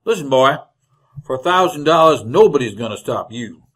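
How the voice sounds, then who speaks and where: A man's voice: medium pitch, animated, normal speed, fairly bright tone. A man playing a greedy, scheming frontier outlaw with rustic, folksy, drawling speech in an old-time radio western. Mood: sly, wary. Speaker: actor in supporting role.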